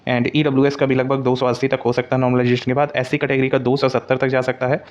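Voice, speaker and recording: A man's voice: mid-range voice, level tone, quick pace. A man giving an informal explanatory update as a coaching presenter, mixing in English words and repeating points for emphasis. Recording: dull, lo-fi audio.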